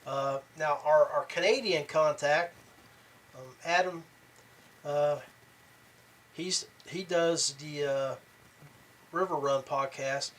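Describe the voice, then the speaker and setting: A man with a fairly high voice hosts a casual podcast on his own. His delivery is halting, often restarting phrases. He sounds set back from the microphone, with some noise around him. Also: fairly even intonation, fairly bright tone. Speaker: podcast host. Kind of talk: casual talk show monologue. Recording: distant mic with background noise.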